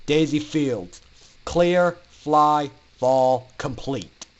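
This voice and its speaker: A man voicing a stern, callous flight instructor in a dramatic story reading, calling out loud, clipped, menacing commands. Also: a little high, very slow, muffled recording. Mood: cold, commanding. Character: stern, callous male flight instructor pony barking orders.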